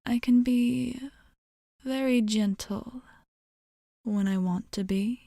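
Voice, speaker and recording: A woman playing a courteous, seductive female vampire bartender in a soft ASMR roleplay, speaking formally and gently, often in a breathy whisper, close to the microphone. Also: a little high, steady tone, no echo, full character voice. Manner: slow, measured, formal, gently coaxing.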